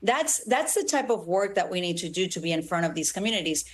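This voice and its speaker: A woman's voice: fairly low pitch, very animated, fast talker. A congresswoman from Ecuador whose first language is Spanish, speaking earnestly and emphatically in a political interview. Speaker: congresswoman and Senate candidate.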